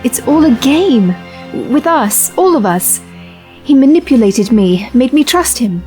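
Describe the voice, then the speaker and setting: A woman's voice: high voice, very animated, unhurried, warm tone. A woman playing an earnest, betrayed rebel in an audio drama, with a pleading, intense manner. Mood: urgent, pleading. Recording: faint music behind the voice.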